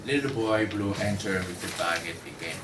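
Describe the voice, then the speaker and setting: A young man narrates stage directions at a student play reading. His voice is lowish and unhurried, recorded in an echoey room with faint noise. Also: far from the mic. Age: young adult. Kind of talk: scripted narration at a student table read.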